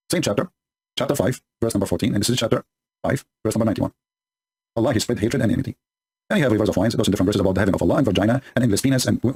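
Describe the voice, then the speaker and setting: A man's voice: medium pitch, a little mellow, husky. A man whose first language is Arabic, giving sarcastic commentary on scripture in broken English. The recording is sped up to double speed. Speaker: religious polemic commentator. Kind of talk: commentary reading and mocking scripture.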